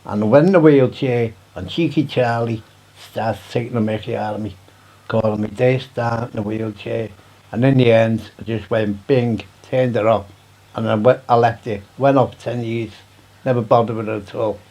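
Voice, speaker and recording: Mellow Liverpool man reminiscing. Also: medium pitch, fairly even intonation, normal speed, poor audio. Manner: plain-spoken, colloquial storytelling. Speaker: CB radio hobbyist being interviewed. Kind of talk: casual reminiscing interview.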